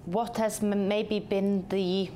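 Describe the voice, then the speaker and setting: A woman's voice: medium pitch, little pitch movement, slow pace, dark tone, a little hiss or hum. A woman from Norway, a company chief executive, answering interview questions thoughtfully with many hesitations and restarts.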